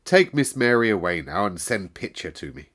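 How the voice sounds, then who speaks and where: A man reading aloud and voicing a gloomy, tired gentleman who speaks slowly and gives clipped orders. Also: low voice, very animated, heavily acted. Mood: commanding. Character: gloomy, weary middle-aged gentleman of a manor.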